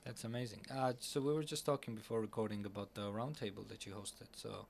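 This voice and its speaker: A man's voice: fairly low pitch, fairly even intonation, brisk, vocal fry, a little hiss or hum. A young man hosting a casual podcast interview at a conference, enthusiastic, with many fillers and restarts. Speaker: podcast host. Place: busy conference hall.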